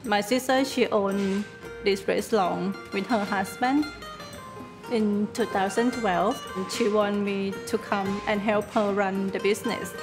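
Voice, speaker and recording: Soft-spoken Thai woman, slow broken English. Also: mid-range voice, steady tone. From northeastern Thailand. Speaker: restaurant owner and head chef. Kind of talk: personal documentary interview. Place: indoor restaurant dining room. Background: background music.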